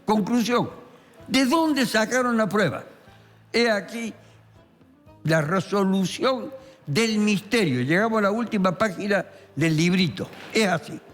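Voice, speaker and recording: An Argentine man, a criminal lawyer and columnist, telling a court case in a deliberate, theatrical way with emphatic pauses. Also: high-pitched, a bit bright. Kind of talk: dramatic storytelling of a court case. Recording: long reverberant hall sound, faint music behind.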